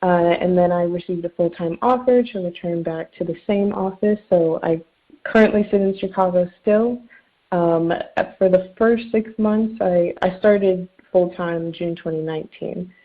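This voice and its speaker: A woman's voice: on the low side, measured pace. A young woman in her twenties introducing herself on a webinar panel, chatty with lots of ums. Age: 20s. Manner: chatty with many fillers. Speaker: webinar panelist, underwriting analyst. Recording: tinny phone-like line.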